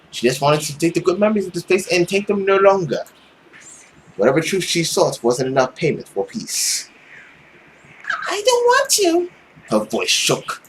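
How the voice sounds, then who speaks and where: A young man narrates a visual novel aloud for a gaming video. His reading is animated and dramatic, with casual asides. He is recorded on a headset indoors with faint background noise. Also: a little high, low-quality recording. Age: young adult.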